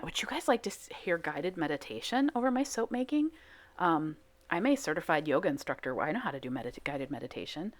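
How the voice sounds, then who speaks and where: Casting note: low-pitched woman, casual self-deprecating vlogger narrating her craft footage. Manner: chatty, self-mocking, lots of fillers. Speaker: soap making vlogger. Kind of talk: casual voice-over commentary.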